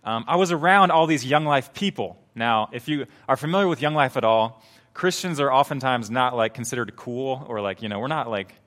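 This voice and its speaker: An earnest young man delivering a sermon as a pastor. His voice sits at an ordinary male pitch, and he talks in a relaxed, conversational way, often restarting his sentences. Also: brisk.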